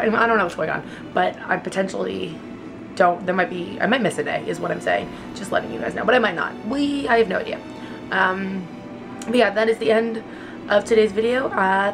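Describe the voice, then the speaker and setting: A woman's voice: medium pitch, gravelly, rough recording. A woman in her twenties chatting casually and ramblingly to viewers as a vlogger, filmed at arm's length. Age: 20s. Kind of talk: casual vlog chat to viewers. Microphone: handheld camera at arm's length.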